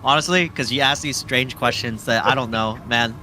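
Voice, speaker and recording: A man's voice: mid-range voice, normal speed. A young man chatting outdoors, giving short, reluctant answers. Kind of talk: casual chat. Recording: noisy outdoor street recording.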